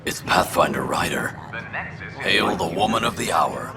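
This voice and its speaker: A man playing a gruff, insistent frontier local in video game dialogue, gravelly and clipped. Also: low-pitched, lively intonation, normal speed, a crowd in the background. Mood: gruff, approving.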